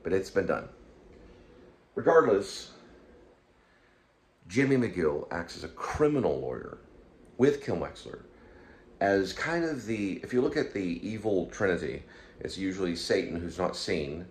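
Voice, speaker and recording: A man hosting his own online show, giving rambling, unscripted commentary in a halting, digressive way, as if thinking aloud. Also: low-pitched, big pitch swings. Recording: clear background noise.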